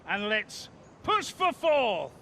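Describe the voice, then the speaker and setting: An actor playing a genial racing team boss giving a celebratory speech in a video game, jovial, self-deprecating and a little hesitant. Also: male voice, high-pitched, very animated, slow, gravelly, fairly bright tone, slight background noise, exaggerated voice.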